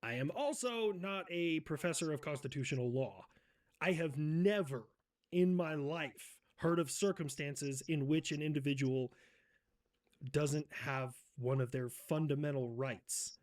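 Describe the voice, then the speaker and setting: A man's voice: on the high side, unhurried. Profane ranting man in a put-on accent. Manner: putting on an accent. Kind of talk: comedic roleplay banter.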